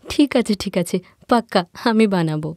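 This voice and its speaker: A woman voicing excitable, playful young twin sisters who chatter and exclaim in a cartoon story. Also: medium pitch, normal speed, no room sound, clean studio sound, close to an everyday voice. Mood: excited, playful. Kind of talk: cartoon moral story voice acting.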